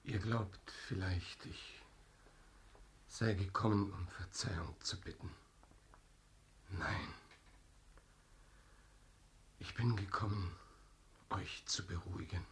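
An actor playing a remorseful, doomed man in a radio drama, grave and deliberate. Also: low voice, a little hiss or hum. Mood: grim resignation. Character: remorseful, doomed male visitor, grimly resigned.

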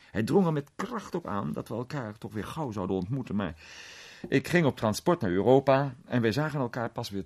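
A man playing a worldly film actor in a radio drama, recounting an unsettling memory in a conversational, sometimes hesitant, theatrical way with a husky voice. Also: on the low side, a little mellow. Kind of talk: radio drama storytelling in character.

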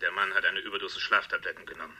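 An actor playing a clipped police subordinate giving a formal report in a radio crime drama. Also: male voice, sits low, little pitch movement, rapid-fire, bright, forceful tone, slight background noise. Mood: urgent, formal.

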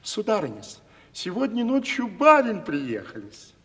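An actor playing a fussy, deferential manservant of a country house in a radio play, with obsequious, hesitant theatrical speech. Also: male voice, high-pitched, wildly swooping pitch, measured pace, a bit bright, exaggerated voice. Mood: respectful, hesitant. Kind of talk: theatrical 1970s Russian radio play acting. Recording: reverberant archival radio drama recording.

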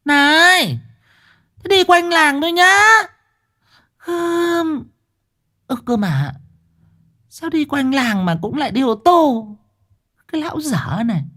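A man's voice: extremely high pitch, lively intonation, slow, long pauses, bright, forceful tone, voice pushed up, exaggerated voice. A man voicing a fussy old village grandmother who nags and exclaims. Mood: scolding. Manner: nagging, exclaiming.

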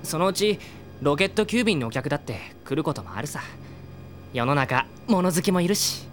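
A woman's voice: low-pitched, big pitch swings, normal speed, a little hiss or hum. A woman voicing a long-suffering young male sidekick in a comic audio drama, with blunt, grumbling retorts. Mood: weary, placating.

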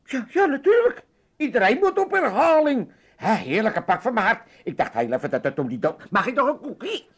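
A man's voice: extremely high pitch, sing-song, brisk, slightly rough, heavily acted. An actor playing a grumpy, self-pitying old Amsterdam father, repeating himself and stammering in comic radio drama. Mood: relieved, dismissive. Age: older.

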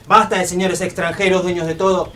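An actor playing a fiery revolutionary orator demanding equality in a colonial radio drama. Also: male voice, high voice, flat delivery, a little fast, a bit bright, a little hiss or hum. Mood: impassioned. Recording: off-mic, distant.

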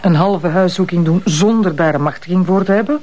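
A Flemish actress playing a candid, sceptical deputy prosecutor in a naturalistic TV crime drama. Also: female voice, fairly low pitch, fairly quick, mellow, close to an everyday voice. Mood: reproachful. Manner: colloquial, questioning.

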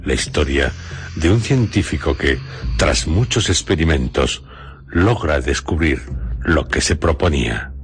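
A man with a Castilian Spanish accent, narrating a literary radio programme in a solemn, measured storyteller's manner. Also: rumbling low, lively intonation. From Spain. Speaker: host and narrator of a radio drama series.

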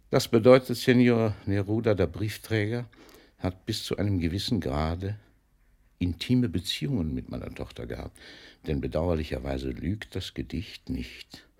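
An actor playing an older, famous poet in a German radio drama, declaiming with mockery and fatherly authority, swinging between booming and hushed delivery. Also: unhurried, vocal fry, long pauses, exaggerated voice. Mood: reciting, savoring, then mock-indignant. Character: older famous poet, theatrical, mocking, fatherly toward a young postman.

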